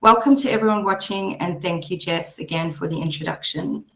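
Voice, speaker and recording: Hoarse woman presenting, echoey room. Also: lowish voice, a little fast, fairly bright tone. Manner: chatty, laughs easily. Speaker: presenter, behaviour support practitioner. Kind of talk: webinar presentation. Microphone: video call.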